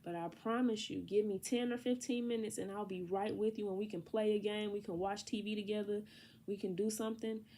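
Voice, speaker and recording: A woman's voice: medium pitch, fairly even intonation, brisk, dark tone, slight background noise. A woman giving a casual tarot reading. She sounds congested from allergies and talks to the viewer in a chatty, slangy way. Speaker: tarot reader.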